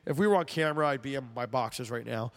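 A man hosting a casual sports talk show, sounding congested, rambling and animated as he mocks sports fans. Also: mid-range voice, fast talker, long reverb tail. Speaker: podcast host. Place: air-conditioned room at home. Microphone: headphones with mic.

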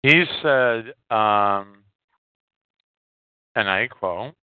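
A man hosting a podcast, giving casual news commentary in a halting, hesitant way with pauses. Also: fairly low pitch, smooth, dry recording. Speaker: podcast host. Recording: narrow phone-like audio, dry.

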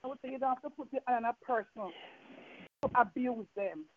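A woman's voice: a little high, fairly quick. Jamaican woman preaching over a tinny line. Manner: fervent, repetitive preaching with rhetorical questions. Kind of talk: evening sermon with call and response. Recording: tinny phone-line sound.

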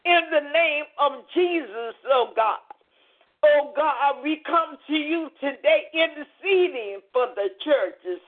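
High-pitched, slow, fervent prayer leader on phone-like audio. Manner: fervent, repetitive exclamatory invocations. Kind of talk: extemporaneous intercessory prayer. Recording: muffled narrow phone-like audio.

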